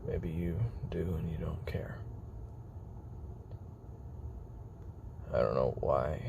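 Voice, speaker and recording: Weary, guarded former hitman, played by a man, audio roleplay confession; heavy vocal fry, halting breathy delivery, clearly noisy tape. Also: rumbling low. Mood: weary, unsure. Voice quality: very gravelly, fry-laden, breathy murmur. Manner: halting, hesitant, self-doubting, trailing pauses.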